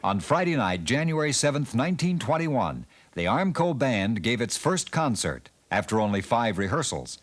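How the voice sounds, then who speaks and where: A man's voice: mid-range voice, normal speed, hardly any pauses. A man narrating a documentary in a formal, scripted way. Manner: formal, lively delivery of dates and facts.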